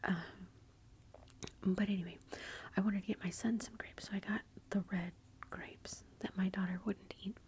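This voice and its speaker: A woman's voice: medium pitch, fairly even intonation, moderate pace, long pauses, slight background noise. A woman, whispering a rambling, amused story in ASMR style. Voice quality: soft whisper. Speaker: ASMR vlogger.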